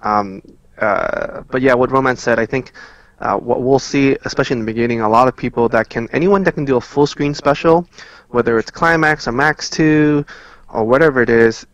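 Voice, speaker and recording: A man's voice: medium pitch, normal speed. A man chatting casually as a guest on a gaming podcast, explaining moves in dense player jargon. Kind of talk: casual game analysis chat. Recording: dull lo-fi audio in a roomy space.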